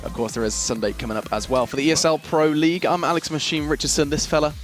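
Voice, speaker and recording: A man hosting an esports analysis desk, quick and playfully self-mocking as he chats with his co-analyst. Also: on the high side, fairly even intonation, faint music behind. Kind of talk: studio desk analysis chat.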